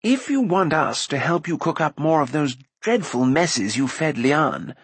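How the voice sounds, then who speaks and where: A man's voice: fairly high pitch, big pitch swings, unhurried, breathy edge, no room sound, voice pushed up, heavily acted. A man playing a flamboyant, scornful bard in a fantasy audiobook, blustering in wordy theatrical phrases. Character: indignant flamboyant male bard, wordy and dramatic.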